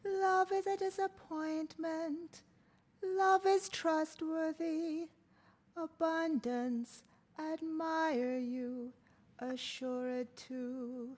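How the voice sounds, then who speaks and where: A woman voicing a queen divided between two loves, speaking in a high, bright register. She recites her lines in a poetic way, at an unhurried pace. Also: fairly even intonation. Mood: yearning. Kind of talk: table read of a stage play.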